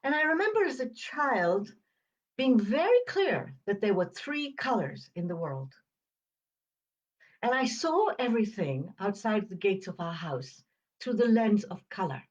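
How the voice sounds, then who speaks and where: Female, 70s, upper-crust British. Slow, reflective storyteller, precise diction, pauses between phrases, slightly muffled recording. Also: mid-range voice, sing-song. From Uganda. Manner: crisp enunciation, measured phrasing with deliberate pauses. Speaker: podcast host. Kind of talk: reflective personal storytelling monologue.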